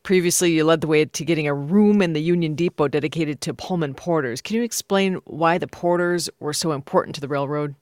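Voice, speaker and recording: A woman's voice: low-pitched, fairly quick, hardly any pauses, a little mellow, no echo, studio quality. A woman hosting a broadcast interview, moving between scripted introductions and questions. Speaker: radio host.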